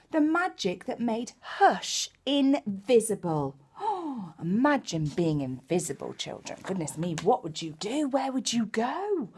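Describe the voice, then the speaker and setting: Husky, high-pitched woman reading to children. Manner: expressive, exclaiming, with gasps and hushed dramatic moments. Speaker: primary school teacher reading a picture book to children. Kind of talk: animated children's story reading with chatty asides.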